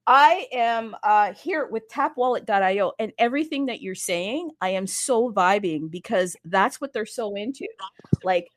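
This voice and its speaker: An excitable woman hosting an online talk, her delivery bubbly and bursting with energy. Her voice is mid-pitched for a woman. It sounds close and dry, with no room around it. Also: moderate pace, no room sound. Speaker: host. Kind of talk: casual crypto chat.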